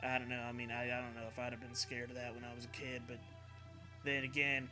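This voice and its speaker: A man's voice: medium pitch, monotone, fast. A man hosting a comedy show, reading a horror story aloud with mocking, sweary commentary. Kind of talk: dramatic reading mixed with joking commentary. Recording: music behind the voice.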